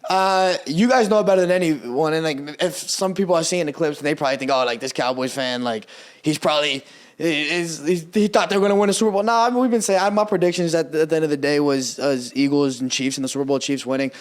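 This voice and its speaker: A young man whose first language is Portuguese, co-hosting a casual sports podcast, animated and swearing freely as he breaks down his team. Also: a little high, fast talker. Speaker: podcast co-host.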